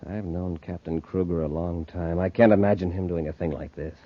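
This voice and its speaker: An actor playing an authoritative, level-headed space commander in a mid-century radio adventure. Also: male voice, low-pitched, fairly even intonation, brisk. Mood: grave. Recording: noisy, muffled old radio recording.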